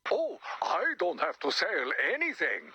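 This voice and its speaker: A man's voice: high-pitched, huge pitch swings, a little slow, pushed and bright, husky, dry recording, full character voice. A man playing a snobbish, curmudgeonly vampire in a video game, haughty and theatrical, heard as if over a radio. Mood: scornful. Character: snobbish, curmudgeonly male vampire, dismissive and evasive. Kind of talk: video game character voice acting.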